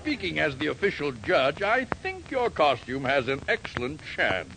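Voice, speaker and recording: A man's voice: a little high, huge pitch swings, normal speed, vocal fry, full character voice. A man in a 1940s radio sitcom, playing a hot-tempered, lecherous costume judge who is pompous and oily. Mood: oily, flirtatious. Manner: pompous, oily chuckling flirt who blusters. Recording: hissy 1940s radio recording.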